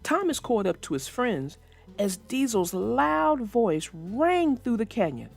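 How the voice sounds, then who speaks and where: A woman narrating a children's storybook aloud in an expressive way. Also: on the high side, takes it slowly, vocal fry, faint music behind.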